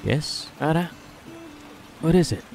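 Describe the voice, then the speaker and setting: Slow-talking young Filipino romantic lead. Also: male voice, on the high side, animated, gravelly, darkish tone, full character voice. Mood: tender. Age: young adult. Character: gentle, reassuring rich young rancher's son, romantic lead. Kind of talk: romantic audio drama dialogue. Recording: music bed behind voice.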